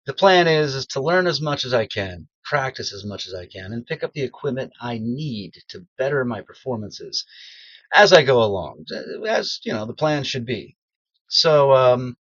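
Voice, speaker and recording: A man's voice: medium pitch, a bit bright, no room sound, dull audio. A middle-aged American man, still congested after strep throat, rambling casually with lots of ums as a beginner voice actor in a small cedar closet. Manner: rambling, self-deprecating, many ums. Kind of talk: casual personal vlog.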